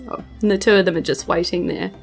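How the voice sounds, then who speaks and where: A woman's voice: fairly low pitch, steady tone, quick pace, gravelly. A woman narrating a tabletop fantasy game as game master. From New Zealand. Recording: faint music bed behind speech.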